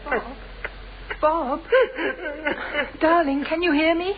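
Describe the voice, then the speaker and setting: A woman's voice: high voice, steady tone, takes it slowly. An actress playing a woman calling tenderly to her lover in a radio drama. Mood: tender, urgent. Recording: muffled noisy audio.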